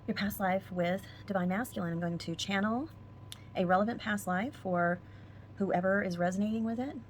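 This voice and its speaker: A woman with a husky, hoarse voice doing a spiritual tarot reading as a casual monologue, speaking softly and sometimes close to a whisper. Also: mid-range voice, normal speed, a little hiss or hum. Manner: soft-spoken, drifts into whispers at times. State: hoarse, losing her voice. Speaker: tarot reader.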